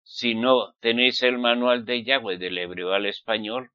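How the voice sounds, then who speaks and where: A man's voice: mid-range voice, steady tone, a little slow, clean tone, no echo, highs cut off. A man from Spain with a Spanish accent, preaching in an emphatic, admonishing way and putting rhetorical questions to his listeners. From Spain, Basque Country. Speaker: religious preacher. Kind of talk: fiery sermon addressed to listeners.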